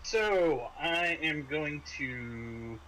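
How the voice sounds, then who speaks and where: A man with a slightly high voice is running a tabletop roleplaying game. He explains rules casually, pausing between short phrases, with faint noise behind him. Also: expressive, bright, forceful tone, low-quality recording. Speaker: game master.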